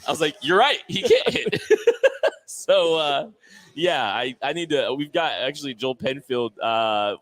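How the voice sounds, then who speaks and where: A man's voice: a little high, very animated, a little slow, fairly bright tone. A man hosting a live draft stream from a sports bar, chatting casually, laughing often and announcing picks.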